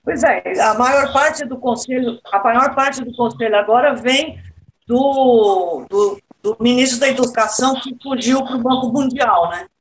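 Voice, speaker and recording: Brazilian woman on a politics panel, high voice, dry irony. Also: unhurried, slight background noise, low-quality recording. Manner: ironic. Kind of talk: political discussion.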